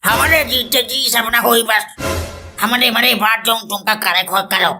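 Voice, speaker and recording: A woman's voice: a little high, music in the background. A woman playing a stubborn, scornful old male village patriarch in a comic cartoon, with an Awadhi accent and indignant, sarcastic scolding. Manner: indignant, sarcastic scolding with drawn-out rhetorical questions.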